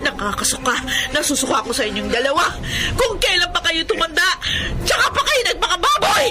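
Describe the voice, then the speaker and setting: An actress playing an outraged, tearful grown daughter in a Tagalog radio drama, emotional and accusing. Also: female voice, extremely high pitch, very animated, fairly quick, music in the background. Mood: furious, tearful. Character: anguished, outraged grown daughter betrayed by her mother.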